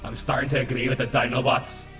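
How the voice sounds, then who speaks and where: Casting: jaded robot enforcer played by a man, mid-pitched, sarcastic and terse, echoey, music behind. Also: little pitch movement, quick pace, lightly acted. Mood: reluctant. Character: cynical, gruff male robot lawman. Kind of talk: scripted fan dub of a comic. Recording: roomy, echoing sound.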